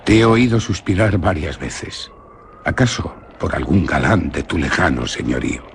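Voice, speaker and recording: A man's voice: a bass voice, normal speed, vocal fry. An actor with a Spain Spanish accent playing an earnest, brooding young nobleman in a radio play, speaking solemnly and intimately, on a hissy old recording. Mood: tender. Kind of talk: 1950s-style radio drama acting.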